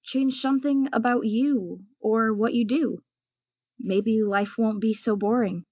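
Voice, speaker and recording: A young woman playing a patient girl who gently gives life advice in an audio drama. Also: a little high, a little slow, dry recording. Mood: encouraging. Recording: dull lo-fi recording.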